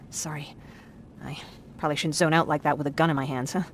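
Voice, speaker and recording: An actress as a young female survivor in a war game. Her voice is on the low side, and she talks in a candid, chatty, naturalistic way. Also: fairly even intonation, fairly quick, a little hiss or hum, natural voice. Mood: candid, determined. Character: earnest, talkative young woman, war survivor. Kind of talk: naturalistic video game acting.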